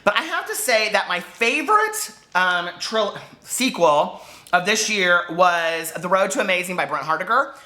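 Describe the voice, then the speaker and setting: Chatty guy vlogging about books, bright forward voice, excitable, rambles with lots of likes and ums. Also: high voice, normal speed. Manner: gushing, digressive, peppered with fillers. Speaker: book vlogger. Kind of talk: casual chatty vlog to camera.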